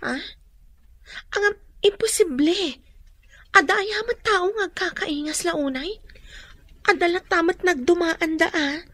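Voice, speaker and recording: A woman's voice: very high-pitched, slow, pauses often, fairly bright tone, dry recording, exaggerated voice. A woman with an Ilocano Filipino accent playing an indignant, scolding young woman in a radio drama. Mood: surprised, annoyed. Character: indignant young woman, scornful of a man named Gerald.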